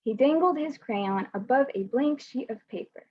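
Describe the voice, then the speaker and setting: A woman's voice: high voice, lively intonation, moderate pace, breathy edge. A young woman, a student volunteer, reading a children's picture book aloud over a video call, hesitant with fillers when chatting. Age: young adult. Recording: dull lo-fi video call audio.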